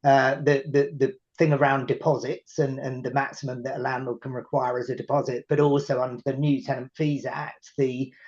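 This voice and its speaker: A man on a webinar panel commenting conversationally, with halting phrasing and repeated words. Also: mid-range voice, flat delivery, darkish tone, lo-fi sound.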